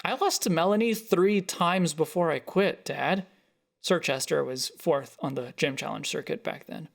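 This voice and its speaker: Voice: mid-range voice, vocal fry. A narrator voicing a defensive, frustrated adult photographer arguing with a parent in a short story. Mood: angry, hurt. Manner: halting, then heated.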